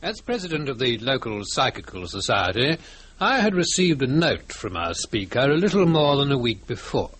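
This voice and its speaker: A genteel, fussy colonel in an old-time radio play. His mid-pitched voice rises and falls freely. He speaks with formal, polite precision, as chairman and storyteller. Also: a bit bright, husky. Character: genteel, fussy retired British colonel, society president. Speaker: narrator and chairman.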